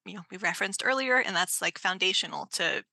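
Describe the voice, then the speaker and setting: A woman with a slightly low, bright and crisp-edged voice speaks in a dry, close sound. She moderates a casual discussion, picking her way through ideas in a thoughtful, halting manner. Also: lively intonation, no echo.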